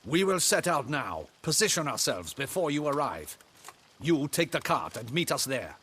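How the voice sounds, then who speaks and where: Forceful, animated guard captain. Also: male voice, mid-range voice, slight background noise. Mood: resolute. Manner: formal, brisk, military. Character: brisk, disciplined male guard captain. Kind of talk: video game acting.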